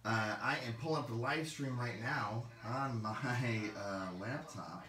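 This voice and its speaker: Hoarse man hosting a game-store livestream. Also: mid-range voice, measured pace, far from the mic, a crowd in the background. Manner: rambling, many fillers and restarts. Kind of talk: casual livestream talk. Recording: roomy, mic set back.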